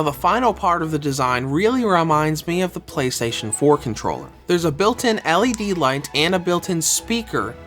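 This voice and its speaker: Guy reviewing a controller, kinda under the weather, pitch jumps all over, bit of music behind. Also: a bit bright. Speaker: game reviewer. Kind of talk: scripted product review narration.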